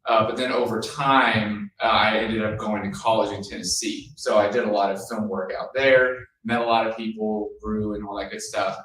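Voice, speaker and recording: A man's voice: fairly low pitch, fairly even intonation, moderate pace, hardly any pauses, fairly bright tone. A man from San Antonio, speaking earnestly as a filmmaker on a panel. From San Antonio, Texas. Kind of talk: panel discussion. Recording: echoey room, distant mic, faint noise.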